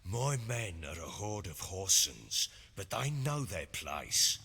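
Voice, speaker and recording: Game warlord: middle-aged, Northern English, quick-tempered, sharp-edged, wildly swinging delivery in a reverberant hall. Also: male voice, low-pitched, very animated, heavily acted. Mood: blustering. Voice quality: gruff, husky. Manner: blustering, emphatic. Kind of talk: video game character acting. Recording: hall reverb, music underneath.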